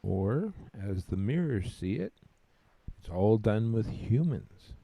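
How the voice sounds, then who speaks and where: A man's voice: on the low side, sing-song, slow pace, gravelly, mellow, husky, no room sound, full character voice. A man acting a droll, philosophical cosmic companion in a sci-fi audio drama, with a wry drawl. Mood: wry amusement.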